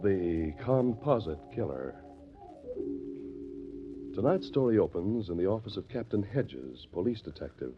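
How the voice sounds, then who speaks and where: An actor playing a formal radio mystery announcer, giving scripted narration in a dramatic old-time style on a muffled recording with music. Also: male voice, fairly low pitch, very animated, a little slow, pauses often.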